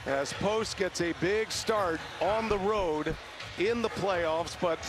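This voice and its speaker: A man's voice: sits high, takes it slowly. A man calling live basketball play-by-play as a game announcer. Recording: loud arena noise, bouncing basketball. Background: loud basketball arena ambience.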